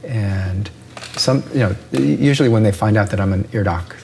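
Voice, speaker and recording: Expert guest, man, lowish voice, explains patiently with analogies, frequent "right?" checks. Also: moderate pace, darkish tone, slight background noise. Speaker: ear doctor guest. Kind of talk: explanatory interview talk.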